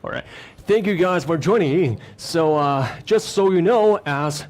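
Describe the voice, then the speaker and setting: A man presents a live stream to fans from a studio. His voice sits a little higher than most men's. He talks in an upbeat, chatty way and pads his sentences with filler phrases. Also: lively intonation, unhurried, darkish tone. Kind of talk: upbeat presenting to an online audience.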